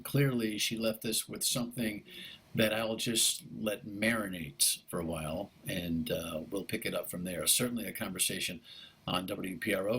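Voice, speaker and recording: A male radio host with a low, gravelly, rough-edged voice. He talks bluntly, presses his guest hard, and trips over his own sentences as he goes, restarting them again and again. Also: a bit bright, low-quality recording. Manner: blunt, pushes the guest with challenges; restarts and stumbles over words. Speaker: talk show host. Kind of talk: radio talk show interview.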